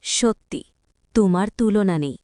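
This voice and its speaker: Cartoon fairy played by a woman: gentle, coaxing, crystal-clear tone, close dry sound. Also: mid-range voice, lively intonation, unhurried, no room sound, natural voice. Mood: approving, gentle. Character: kindly magical cave fairy, female, testing with treasure. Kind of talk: scripted fairy-tale cartoon dialogue.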